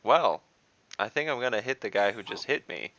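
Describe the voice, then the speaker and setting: Gravelly, low-voiced man at game night. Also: animated, normal speed, sharp tone, no echo, rough recording. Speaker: tabletop game player. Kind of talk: casual online game chat. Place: online voice call.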